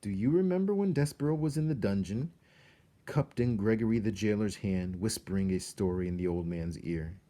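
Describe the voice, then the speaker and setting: A man reading a children's novel aloud as an expressive storyteller, speaking earnestly and directly to the listener with dramatic pauses. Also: on the low side.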